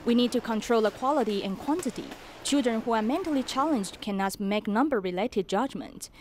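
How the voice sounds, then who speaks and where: A woman reading an interviewee's words as a calm voice-over dub. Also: a little high, brisk, faint music behind. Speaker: voice-over translator.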